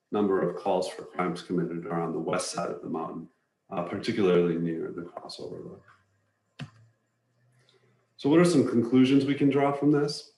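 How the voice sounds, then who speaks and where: A deep-voiced man walks through an informational presentation in a measured manner. He sounds set back from the microphone, with clear room echo around his words. His explanations are plain and matter-of-fact. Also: a little mellow, a little hiss or hum. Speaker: presenter to neighborhood residents. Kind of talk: informational slide presentation narration. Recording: roomy echo, distant from microphone.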